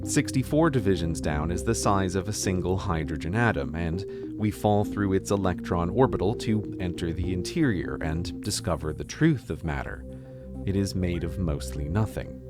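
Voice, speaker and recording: Male narrator, low register, awed grandeur in a scripted read, over clear music. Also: animated, normal speed. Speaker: narrator of a played video.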